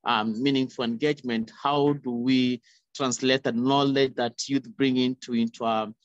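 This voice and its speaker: Young male panelist, mid-pitched, muffled dry video call sound. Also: level tone, normal speed, no echo. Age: young adult. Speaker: youth panelist. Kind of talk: panel discussion answer. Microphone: phone at arm's length on video call. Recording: dull, lo-fi video call audio.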